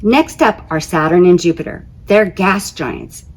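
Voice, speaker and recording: A woman with a slightly low voice reads a story for children. Her delivery is conversational and very lively, rising and falling often as she goes. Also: a little slow. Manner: conversational, expressive. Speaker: teacher reading a picture book aloud. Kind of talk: expressive children's story read-aloud.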